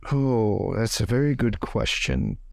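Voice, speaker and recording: A man with a creaky voice chatting casually as a player in a tabletop role-playing game. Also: on the low side, very animated, unhurried, no echo, studio quality.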